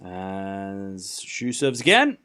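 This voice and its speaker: A man with a light Australian accent calling tennis on a live stream, getting excited on rallies and muttering between points while answering chat. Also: deep voice, drawn-out words. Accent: Australian, softened. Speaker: streamer commentator. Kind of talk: live play-by-play commentary with chat.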